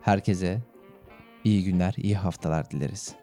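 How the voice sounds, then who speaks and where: Deep, very dark-voiced male podcast co-host. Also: normal speed, soft background music. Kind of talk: casual radio-style chat and sign-off.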